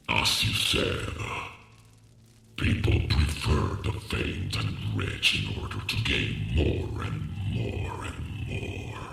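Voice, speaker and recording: A man voicing a huge, ancient, proud dragon in a deep gravelly growl, with slow, solemn, archaic speech in a fantasy audio drama. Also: sharp tone, exaggerated voice. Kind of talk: fantasy audio roleplay acting. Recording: distant, heavily echoing, music behind.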